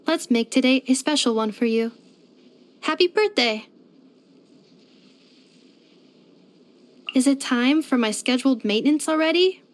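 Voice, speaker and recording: A woman's voice: high-pitched, moderate pace, lightly acted. A woman voicing a polite, devoted household robot companion in a video game, speaking in formal, precise, computer-like phrasing. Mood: helpful, courteous. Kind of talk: scripted video game character voice lines.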